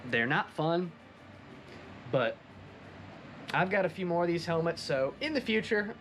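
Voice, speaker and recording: A man's voice: a little high, animated, unhurried, a bit bright, a little hiss or hum. A man giving a casual, enthusiastic recap of a craft project as a hobbyist video presenter.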